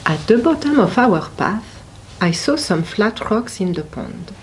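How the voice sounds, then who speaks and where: A woman reads a picture book aloud at a slow, unhurried pace. Her pitch sits in an ordinary middle range, and she leans on key words with deliberate, dramatic emphasis, as an expressive storyteller for children. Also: noisy background. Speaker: picture book reader at a library.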